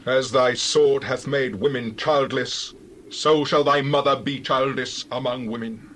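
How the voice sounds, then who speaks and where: A man's voice: mid-range voice, steady tone, unhurried, a bit bright. A man playing a stern, righteous prophet in a dramatized scripture reading, solemn and declamatory. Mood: grim command.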